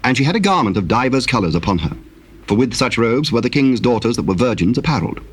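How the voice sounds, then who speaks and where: A man narrating a dramatized Bible, telling the story solemnly in measured, archaic English. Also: mid-range voice, lively intonation, slightly rough.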